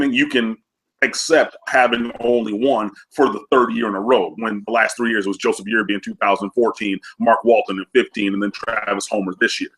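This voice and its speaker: A man's voice: fairly low pitch, a bit bright, low-quality recording. A man talking about college football recruits, nasal from a cold, chatty with lots of fillers. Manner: chatty, many fillers, enthusiastic. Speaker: recruiting analyst guest. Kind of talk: casual sports recruiting talk.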